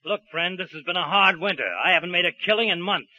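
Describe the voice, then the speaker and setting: An actor playing a tough, sarcastic, short-tempered American racketeer in blunt slang, in 1940s radio drama style. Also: male voice, sits high, steady tone, fast, pushed and bright. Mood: menacing. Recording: dull vintage 1941 radio recording.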